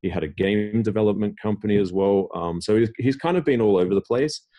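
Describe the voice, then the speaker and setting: A man's voice: lowish voice, fairly quick, dark tone. A middle-aged man from Australia, a tech executive guest, talking casually in an interview and rambling with many fillers and restarts.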